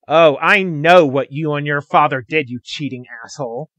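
A man's voice: on the high side, moderate pace, fairly bright tone, no room sound, close to an everyday voice. A man voicing a hot-headed, snarky race driver in a narrated story, with a snappish, defiant manner. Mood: angry. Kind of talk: acted character in narrated fan story.

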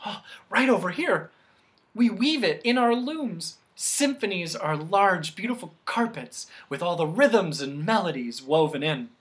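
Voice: medium pitch, expressive, unhurried, lightly acted. A storyteller voicing a stern, bossy woman who keeps sounds, speaking in a commanding, scolding way. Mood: wistful.

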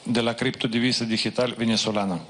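A man's voice: mid-range voice, level tone, quick pace, a bit bright, slight background noise. Hesitant male interpreter in a hall. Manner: hesitant, with fillers and restarts. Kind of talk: consecutive interpreting.

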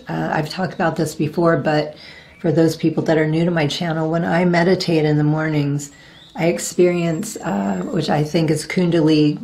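Raspy lady in her 40s chatting to her webcam, lowish gravelly voice, lots of ums. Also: mellow. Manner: rambling, searching for words with many ums. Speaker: reiki practitioner. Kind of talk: casual spiritual vlog talk. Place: indoors, virtual blossom backdrop.

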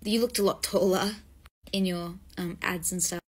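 A woman's voice: lowish voice, steady tone, normal speed, slightly rough. A woman voicing an awkward, starstruck male party guest in a game roleplay who fawns and stammers. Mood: fawning. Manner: fawning, stammering.

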